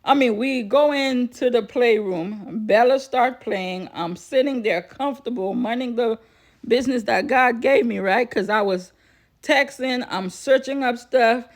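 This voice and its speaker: A woman's voice: on the high side, unhurried. A woman telling a personal story casually to viewers, chatty and repeating phrases for emphasis. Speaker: vlogger.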